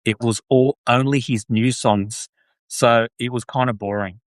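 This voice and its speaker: A man's voice: mid-range voice, steady tone, unhurried, no echo. Australian man chatting on a podcast. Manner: chatty, frequent ums and self-corrections. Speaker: podcast host. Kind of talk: casual live chat.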